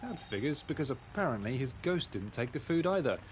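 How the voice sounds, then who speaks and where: A man's voice: medium pitch, very animated, a little fast, noisy background, natural voice. A man voicing a blunt, inquisitive pig detective in a video game, musing and matter-of-fact. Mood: blunt, accusing. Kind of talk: video game character acting.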